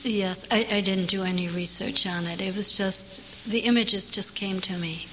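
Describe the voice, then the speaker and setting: A woman poet whose first language is German, answering audience questions and reciting her own poem from memory, thoughtful and unhurried with dry humor. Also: mid-range voice, fairly even intonation, a bit bright. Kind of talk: Q&A after a poetry reading, reciting from memory. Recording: hissy recording in a big echoing hall.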